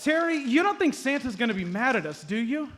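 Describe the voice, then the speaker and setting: A man's voice: very high-pitched, big pitch swings, brisk. A man playing a naive, earnest adventurer sidekick in a comedic radio play, boyish and eager to please. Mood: fretful. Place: comedy theatre stage, live show. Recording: noisy live stage recording.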